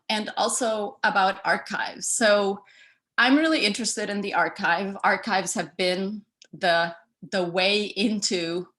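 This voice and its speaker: Bright-voiced woman presenting over a call. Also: lowish voice, vocal fry. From El Salvador. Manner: conversational, many fillers and restarts. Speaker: artist giving a talk. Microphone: video call.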